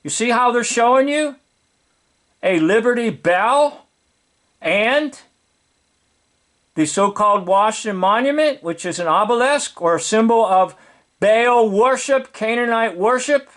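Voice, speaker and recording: Slow, high-pitched man preaching his commentary. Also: lively intonation, fairly bright tone. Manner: preachy, emphatic, repeats words for emphasis. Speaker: video commentator. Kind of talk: informal explanatory commentary on a film.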